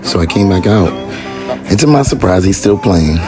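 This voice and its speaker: A man hosting a podcast, speaking in an earnest, informal and halting way as he reflects out loud. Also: low-pitched, lively intonation, over music. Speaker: podcast host. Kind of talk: reflective spoken commentary and casual street interview.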